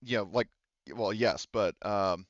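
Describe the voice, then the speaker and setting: A man's voice: on the low side, unhurried, dry recording, highs cut off. A man running a tabletop roleplaying game as game master, explaining the situation conversationally.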